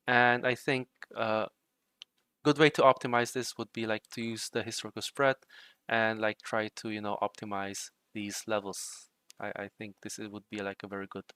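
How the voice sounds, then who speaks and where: A man's voice: lowish voice, clear, resonant tone, no echo. A young man from the Czech Republic chatting casually on a livestream while he codes, rambling with lots of "like" and "right". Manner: relaxed, rambling, frequent fillers like "like" and "right". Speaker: livestream host and trading bot developer.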